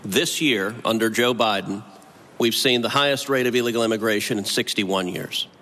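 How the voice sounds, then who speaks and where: Forceful man in echoing hall. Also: fairly low pitch, moderate pace. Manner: confrontational. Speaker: senator. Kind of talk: hearing-room questioning. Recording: long hall reverb.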